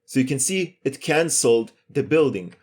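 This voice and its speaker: A man's voice: mid-range voice, lively intonation, normal speed, darkish tone, breathy edge. A man giving a step-by-step screencast programming lesson in a methodical, explanatory way. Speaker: programming tutorial instructor.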